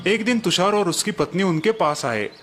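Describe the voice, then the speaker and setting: A man tells a bedtime story in a smooth, even, scripted manner. His voice sits a bit high for a man. Faint music runs underneath. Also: normal speed. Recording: music bed behind narration.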